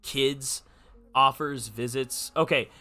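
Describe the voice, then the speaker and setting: A man's voice: mid-range voice, slow, pushed and bright. A man giving casual, opinionated football talk, emphatic and slangy, arguing his point with rhetorical questions. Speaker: sports podcast commentator. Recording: music behind the voice. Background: music.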